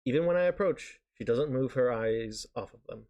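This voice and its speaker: A man reading visual novel narration aloud on a stream, haltingly, often stumbling over words and correcting himself. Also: medium pitch, expressive, a little mellow, highs cut off. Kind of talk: live read-aloud of narration. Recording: guitar music behind the voice. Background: game background music with guitar.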